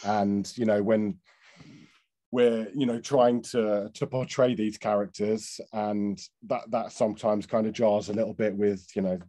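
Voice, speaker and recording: Low, mellow man, thoughtful and halting. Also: level tone, dry recording. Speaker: casting director on a feedback panel. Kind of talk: informal spoken feedback.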